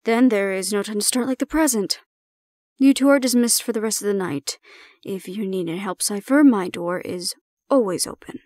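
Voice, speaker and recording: A woman playing a calm, formal supervisor in an audio roleplay, speaking in a measured, diplomatic way. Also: medium pitch, dry recording, clean studio sound, close to an everyday voice. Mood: composed, reassuring. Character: calm, formal female supervisor handing over a hybrid prisoner.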